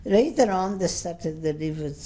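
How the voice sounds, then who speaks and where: A woman in her seventies giving an oral history testimony, speaking English as a second language in a slow, rambling, anecdotal way full of asides. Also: lowish voice, animated, mellow. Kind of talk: unscripted recollection.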